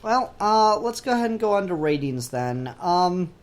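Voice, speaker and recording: A man's voice: high-pitched, very animated, normal speed. A man chatting casually and informally as co-host of a fan discussion podcast. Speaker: comic book review podcast co-host.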